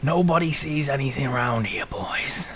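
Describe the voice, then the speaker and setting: A man's voice: mid-range voice, normal speed, a bit bright, a little hiss or hum, natural voice. A man voicing a stooped, suspicious old shopkeeper who speaks in a curt, guarded way. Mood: secretive. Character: stooped, bony old male shopkeeper, wary and unhelpful.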